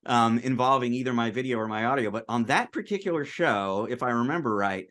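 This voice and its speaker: A man's voice: mid-range voice, fairly quick, a bit bright. A man co-hosting a podcast over a video call, speaking hesitantly and self-deprecatingly, with many fillers. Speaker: podcast co-host. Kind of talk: casual chat.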